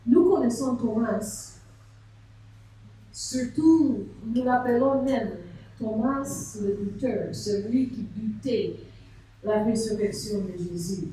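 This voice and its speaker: A woman's voice: on the high side, takes it slowly, mellow. A woman pastor, preaching a sermon and telling a story with rhetorical questions. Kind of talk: sermon at a seminar. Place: large echoey church hall. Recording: echoey hall from far off.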